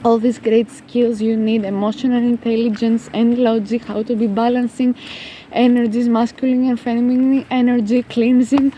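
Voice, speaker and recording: A Greek woman with a Greek accent giving a casual motivational coaching talk while walking outdoors, halting and emphatic with drawn-out words. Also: on the high side, little pitch movement, mellow, a little hiss or hum, poor audio. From Greece. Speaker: spiritual life coach.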